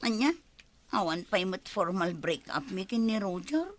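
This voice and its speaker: A woman's voice: medium pitch, animated, measured pace. A woman playing a hurt younger woman who has been jilted, plaintive and confiding, in an Ilocano comedy radio drama with an Ilocano Filipino accent.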